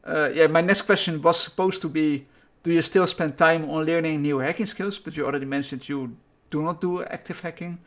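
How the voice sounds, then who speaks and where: A man's voice: on the high side, steady tone, brisk, hardly any pauses, fairly bright tone. A man with a Dutch accent interviewing a guest, asking questions hesitantly with many fillers. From Netherlands.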